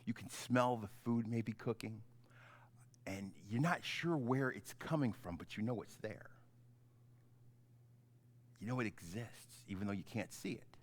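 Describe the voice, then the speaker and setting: A middle-aged man, a pastor, giving an informal, halting children's message in simple, conversational words. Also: on the low side, lively intonation. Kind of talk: gentle children's sermon, informal storytelling.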